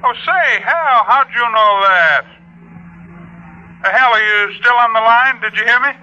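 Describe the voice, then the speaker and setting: A man's voice: very high-pitched, a little slow, bright, forceful tone, exaggerated voice. A man acting in a 1950s radio drama as an affable, folksy fellow talking on the telephone. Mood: affable, surprised. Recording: dull vintage radio, telephone scene.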